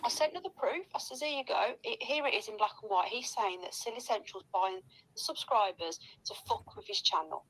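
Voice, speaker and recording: A woman's voice: fairly high pitch, animated, a little fast, fairly bright tone, slightly rough, rough recording. Indignant, sweary woman. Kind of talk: casual gossipy recounting.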